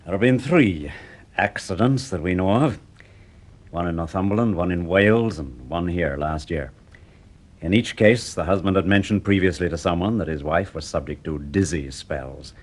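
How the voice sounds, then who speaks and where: Low-pitched inspector, steady, soothing, methodical, vintage radio drama. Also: male voice, moderate pace, slightly rough. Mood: grave explaining. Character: steady, reassuring police inspector.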